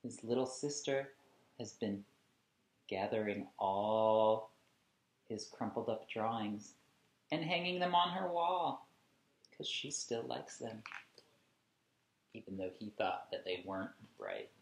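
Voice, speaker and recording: Deliberate man reading to children, unhurried. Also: on the low side, expressive, creaky. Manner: deliberate, emphatic, explaining asides. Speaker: children's read-along host. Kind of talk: expressive storybook reading for young children. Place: small indoor room at home. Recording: echoey room, camera at a distance.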